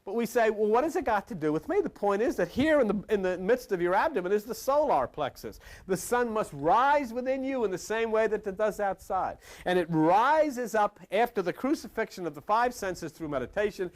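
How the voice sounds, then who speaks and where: A man's voice: high voice, big pitch swings, a little fast. A man giving an esoteric sermon-style lecture as a spiritual teacher, emphatic, repeating phrases and asking rhetorical questions.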